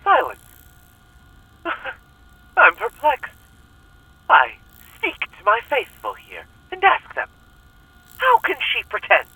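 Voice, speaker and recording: Fanatical cult leader, horror audio drama, sharp forceful voice, swooping pitch, theatrical preacher delivery, menacing and pleading, distant taped recording. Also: male voice, wildly swooping pitch, slow, long pauses, dry recording, exaggerated voice. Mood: wounded fury. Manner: theatrical, preacher-like, intense and manipulative. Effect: played back as a taped message.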